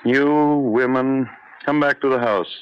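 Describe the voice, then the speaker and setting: An actor playing an irritable, domineering husband who scolds impatiently, in old-time radio drama style. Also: male voice, medium pitch, fairly even intonation, a little slow, smooth, close to an everyday voice. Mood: scornful. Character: irritable, domineering husband, scornful rose grower. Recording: muffled, noisy old radio recording.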